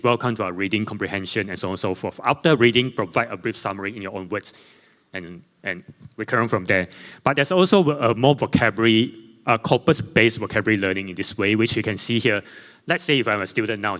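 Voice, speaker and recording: A man presenting slides at a conference panel, speaking English with Chinese as his first language, in a halting way full of fillers and self-corrections. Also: lowish voice, fairly bright tone. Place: conference hall. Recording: long reverb in a conference hall.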